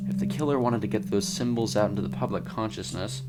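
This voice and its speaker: A man's voice: on the low side, fast, loud music behind the voice, natural voice. A man voicing a weary, questioning male federal agent in a narrated mystery story, earnest and a little grumbling.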